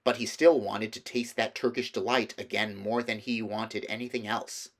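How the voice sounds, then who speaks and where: A man's voice: fairly low pitch, fairly even intonation, moderate pace. A man reading a children's storybook aloud as a narrator. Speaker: amateur audiobook reader.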